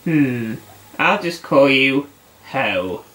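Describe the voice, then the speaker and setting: A man's voice: mid-range voice, very animated, drawn-out words, sharp tone, heavily acted. A man voicing a pompous, petulant emperor puppet, haughty and plummy, in a comic puppet show. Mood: imperious, scheming.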